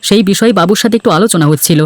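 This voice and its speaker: A man's voice: high voice, very fast, slight background noise, close to an everyday voice. A man voicing a worried village farmer and husband in a cartoon story, speaking earnestly and hurriedly.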